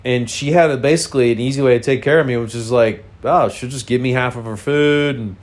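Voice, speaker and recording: A man's voice: mid-range voice, brisk. A man with a congested, nasal voice, casually telling a frank, rambling personal story full of fillers.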